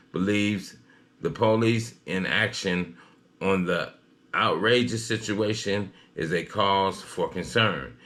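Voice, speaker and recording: Male news commentator, low-pitched, slightly halting read, personal opinion style. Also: fairly bright tone.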